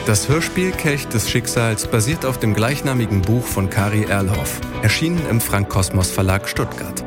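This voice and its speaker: Low-voiced male announcer over loud guitar music. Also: expressive, normal speed. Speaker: announcer reading closing credits. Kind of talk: scripted credits announcement.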